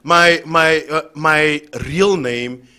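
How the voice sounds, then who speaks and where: A man with a South African accent joking casually on a podcast panel in a halting, teasing way. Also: on the high side, pushed and bright, smooth.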